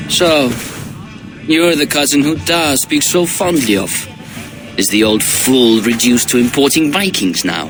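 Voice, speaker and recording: Man acting a brash young prince, swinging pitch. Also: lively intonation, a little slow, music in the background, close to an everyday voice, rough recording. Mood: taunting. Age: young adult. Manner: taunting, brash. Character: cocky, hot-headed young Viking prince. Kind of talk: dramatic video game cutscene acting.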